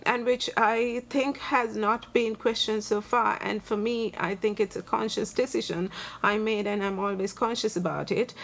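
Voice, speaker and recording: A Sri Lankan woman in her forties with a Sri Lankan accent, a lawyer answering interview questions earnestly and thoughtfully, pausing on many fillers. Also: mid-range voice. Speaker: guest, human rights lawyer and advocate.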